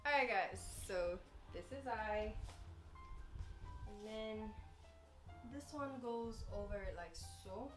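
Woman, 20s, casual vlogger, mid-pitched voice, chatty and playful, talks straight to viewers. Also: animated, drawn-out words, pauses often, faint music behind. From Ghana. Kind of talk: casual vlog chat to camera. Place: bedroom, indoors.

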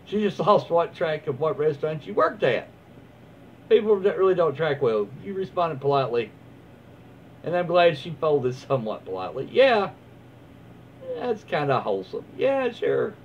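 A dull, noisy home recording of a man reading online stories aloud. His pitch moves around a lot as he slips between reading and easygoing, rambling commentary. He sounds folksy and casual, like a host chatting from his own room. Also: big pitch swings, normal speed. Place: small room at home, indoors. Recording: dull lo-fi sound with clear background noise.

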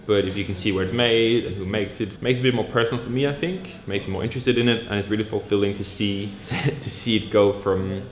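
A man in his twenties talking casually and informally to camera as a vlogger, sometimes swearing. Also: on the low side. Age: 20s. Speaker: vlogger and clothing brand owner. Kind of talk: casual vlog talk to camera.

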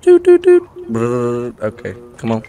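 A man's voice: medium pitch, wildly swooping pitch, a little slow. A man on casual gaming voice chat, brash and profane, teasing his teammates with mock aggression. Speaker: streamer trolling random teammates.

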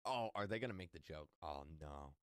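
A man in his twenties giving excitable, jokey reaction commentary, often repeating phrases for emphasis, close on the mic. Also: low voice, a little fast, clear, resonant tone, no echo. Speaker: reaction video host. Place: indoor room, close to mic. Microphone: mic on arm close to mouth.

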